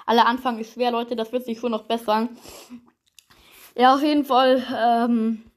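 Very high-voiced, excitable gaming commentator, woman. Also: unhurried. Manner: excitable, slangy, addresses the audience. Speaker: gaming narrator and player. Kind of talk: improvised gameplay commentary and role-play.

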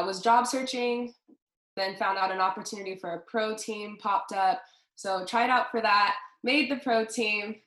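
A woman's voice: mid-range voice, a bit bright, roomy sound. A woman in her twenties from Southern California, co-hosting a podcast in an upbeat, casual way. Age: 20s. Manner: upbeat, friendly, lots of fillers. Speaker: podcast co-host. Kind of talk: casual chat.

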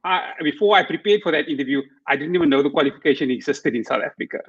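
Host with a slightly high, dull voice. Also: male voice, lively intonation, very fast, fairly bright tone, slightly rough. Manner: structured, welcoming questions. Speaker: podcast host. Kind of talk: hosting a live interview show.

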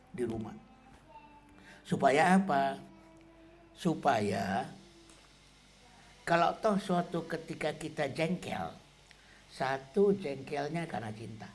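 A middle-aged man giving a relaxed, conversational talk on parenting, often tagging sentences with casual fillers. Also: medium pitch, slow pace, pauses often. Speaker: parenting speaker.

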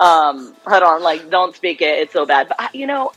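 A woman's voice: lowish voice, lively intonation, a little fast, a bit bright, soft background music, poor audio. A woman from Los Angeles with an American accent, a clinical psychologist chatting as a radio guest and laughing easily. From Los Angeles, United States. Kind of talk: casual radio interview.

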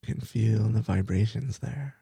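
A man who is a meditation teacher, leading a guided body scan for sleep with slow, soft, soothing phrasing that repeats itself. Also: lowish voice, gravelly, slightly rough, no echo, clean studio sound.

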